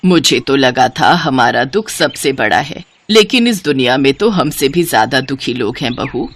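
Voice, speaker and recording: A woman voicing a kind, gentle elderly widow, a consoling mother-in-law in a moral story. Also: rumbling low, very animated, normal speed, voice pushed down, full character voice. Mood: sorrowful.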